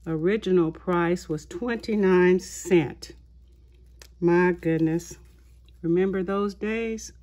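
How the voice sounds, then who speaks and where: A woman hosting a haul video of her craft purchases, talking in an unhurried way with many small pauses and fillers. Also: lowish voice, a little mellow. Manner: slow, unhurried, halting with fillers. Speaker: craft channel host. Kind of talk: show-and-tell haul video. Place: indoors at a tabletop, voice-over of hands.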